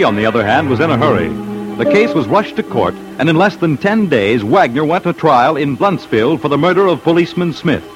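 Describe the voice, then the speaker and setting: A man's voice: fairly high pitch, poor audio. A man narrating a scripted radio documentary in a dramatic style. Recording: old radio broadcast with music. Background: loud music.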